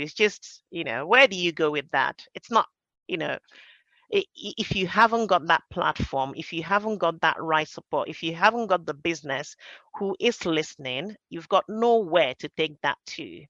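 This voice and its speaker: Bright-voiced Nigerian woman, dry muffled recording. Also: deep voice, animated, moderate pace, no echo. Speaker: podcast panellist, major projects professional. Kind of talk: personal, conversational panel discussion.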